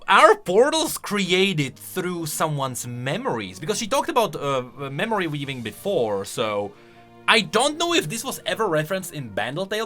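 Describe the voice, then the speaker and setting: A man with a bright, forceful voice gives casual commentary as a gaming channel host. He is highly animated and excitable, thinking aloud as he goes, with light music underneath. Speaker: host of a game lore channel.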